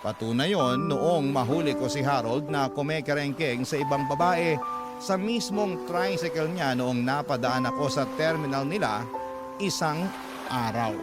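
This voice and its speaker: Male radio storyteller over loud music. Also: fairly high pitch, a little slow. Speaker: radio drama narrator. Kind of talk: scripted first-person story narration.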